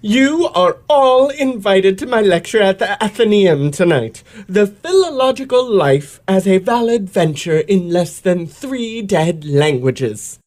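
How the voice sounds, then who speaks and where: A man playing an excitable, pedantic elocutionist in an old-fashioned radio play, florid and theatrical. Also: sits high, unhurried, hardly any pauses. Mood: grandly proud.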